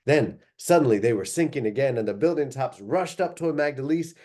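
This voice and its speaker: A man reading a story aloud as expressive, dramatic narration, drawing out descriptive phrases. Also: mid-range voice, fairly quick.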